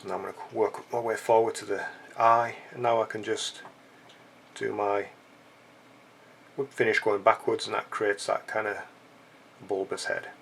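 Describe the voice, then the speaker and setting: A man's voice: fairly low pitch, little pitch movement, unhurried, creaky, pauses often, a bit bright, slight background noise. A man with a stuffy, blocked-up nose, chatting casually and joking as he walks through a fly-tying tutorial. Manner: chatty, joking asides while working. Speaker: fly-tying channel host.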